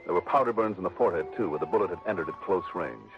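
An actor playing a shrewd, skeptical American investigator, clipped and dry, in early sixties radio drama style. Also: male voice, sits low, rapid-fire, vocal fry, music in the background. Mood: dry, narrating. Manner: clipped, probing questions, dry narration. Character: shrewd, skeptical male insurance investigator and narrator. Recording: muffled vintage radio recording.

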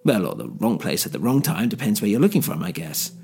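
A man voicing a smug middle-aged male villain who talks in a mocking, gloating, drawn-out way. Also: fairly low pitch, wildly swooping pitch, gravelly, warm tone, heavily acted.

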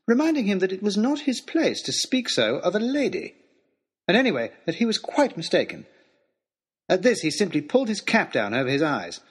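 Eager, animated gentleman adventurer. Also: high-pitched, husky, heavily acted. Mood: indignant, flustered. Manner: formal, wordy, old-fashioned, expressive. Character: eager, lovestruck, earnest young gentleman adventurer narrating his journey. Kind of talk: dramatised first-person storytelling, as a letter to a lady.

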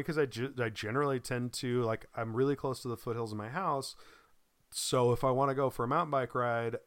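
A man's voice: mid-range voice, smooth, dry recording. A man from Boise chatting casually as a podcast co-host, rambling with many ums and restarts, still recovering from a lost voice and coughing. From Boise, Idaho. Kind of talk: casual chat.

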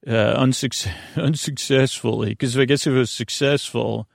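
A man hosting a bedtime podcast in a creaky, soothing voice, rambling haltingly and circling back on himself in meandering tangents. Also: mid-range voice, steady tone, no echo, clean studio sound. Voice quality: creaky, gravelly. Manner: soothing, lulling. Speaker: podcast host.

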